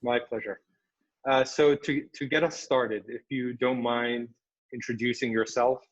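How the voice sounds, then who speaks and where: Hesitant male host, dull audio. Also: mid-range voice. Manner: hesitant, many fillers and restarts. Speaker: podcast host. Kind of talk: interview questions.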